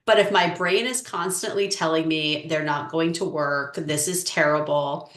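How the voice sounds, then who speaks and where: A woman coach answering interview questions in a measured, explanatory way with frequent "um"s. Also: deep voice, steady tone, a bit bright. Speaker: relationship coach. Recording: echoey room.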